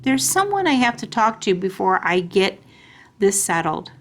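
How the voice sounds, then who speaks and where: A woman voicing a kindly, tired male school principal from a storybook, speaking in a measured, patient way. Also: mid-range voice, very animated, a little hiss or hum. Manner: measured, patient grown-up way of talking. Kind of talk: children's storybook character reading.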